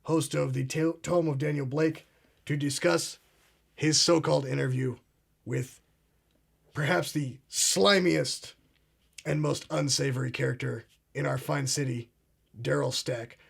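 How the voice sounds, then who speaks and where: Brief: a man doing a pompous conspiracy show host, gravelly and husky, a bit high. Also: fairly even intonation, measured pace, heavily acted. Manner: grandiose, ominous, addresses "ladies and gentlemen". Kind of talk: comedic mock talk show.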